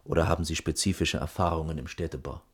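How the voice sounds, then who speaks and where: A man's voice: a bass voice, steady tone, fast, breathy edge, heavily acted. An actor playing a stern, dutiful boss in his late thirties, speaking formal, brusque officialese in a German radio drama. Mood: cold, lecturing.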